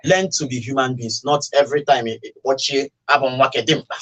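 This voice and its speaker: A man's voice: mid-range voice, fairly even intonation, brisk, fairly bright tone. Nigerian guy guest on a radio talk show, mid voice, giving advice, earnest and chatty. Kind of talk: conversational radio discussion.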